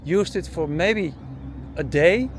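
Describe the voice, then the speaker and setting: Man, Dutch accent, fairly high voice, casual chatty vlogger, faint outdoor background noise. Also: slow. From Netherlands. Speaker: travel vlogger and interviewer. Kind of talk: casual street chat. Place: outdoors by parked camper vans.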